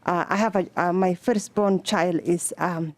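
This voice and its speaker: A woman from Somalia with a Somali accent, testifying at a legislative hearing in halting speech with many fillers and restarts. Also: fairly low pitch, fairly even intonation, dry recording. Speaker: testifying adult student. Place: legislative committee hearing room. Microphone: table microphone.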